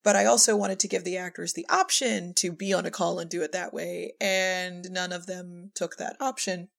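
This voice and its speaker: Low-pitched woman with vocal fry. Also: steady tone, normal speed. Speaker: audio drama director and podcast guest. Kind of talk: casual conversational interview.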